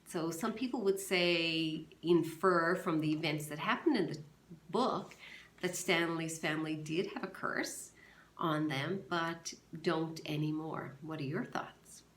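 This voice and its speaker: A woman's voice: low-pitched, unhurried, fairly bright tone. Teacher woman reading aloud, echoey room. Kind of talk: reading a novel aloud to a class.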